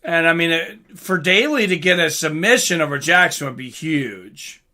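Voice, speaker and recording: Male livestream fight commentator, slightly high and very bright voice, informal and conversational. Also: animated, moderate pace. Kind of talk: casual live fight commentary with chat asides.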